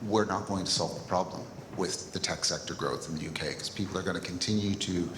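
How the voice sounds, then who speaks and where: A man's voice: deep voice, fairly even intonation, fast. A man in the audience asking a pointed question at a live event, laying out his argument directly. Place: large lecture hall. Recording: echoey hall with faint noise.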